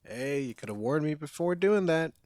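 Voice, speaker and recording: A man's voice: a little high, lively intonation, moderate pace, a little mellow, no room sound, natural voice. A man playing a caring, protective young college friend in an intimate, one-sided audio roleplay, speaking in an earnest, conversational way. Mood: teasing.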